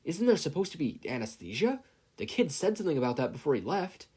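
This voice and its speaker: Man acting a middle-aged ex-hero, frantic, indignant, exclaiming, lifted pitch, audio storybook. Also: sing-song, quick pace, darkish tone, slightly rough. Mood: panicked.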